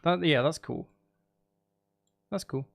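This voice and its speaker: A man's voice: on the high side, slow, creaky, over loud music. A man, talking casually on a livestream, rambling and thinking aloud as he goes. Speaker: live streamer. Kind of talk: casual livestream chat while making music.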